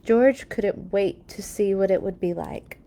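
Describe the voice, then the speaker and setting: A woman reading a children's story aloud in an expressive, playful way for young listeners. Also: mid-range voice, a little slow. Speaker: children's read-along storyteller. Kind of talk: storybook read-aloud with animated asides.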